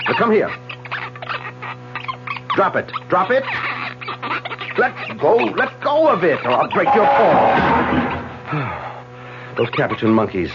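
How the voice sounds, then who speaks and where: An actor playing Philip, a man who coaxes and then solemnly persuades, in 1940s radio-drama style. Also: mid-range voice. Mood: commanding. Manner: urgent, coaxing, then solemn. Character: man named Philip, anxious and controlling. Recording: dull old radio broadcast with music.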